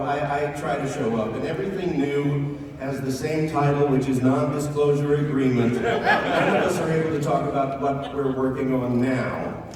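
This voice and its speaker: A man's voice: medium pitch, distant mic. An older man, a veteran voice actor, casually introducing his work on a panel in a large convention hall. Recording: echoey hall PA with crowd.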